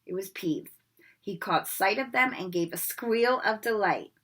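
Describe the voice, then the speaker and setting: A woman reading a storybook aloud with expressive narration. Her voice is bright and forward, with a breathy edge. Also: mid-range voice, unhurried. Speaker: reader.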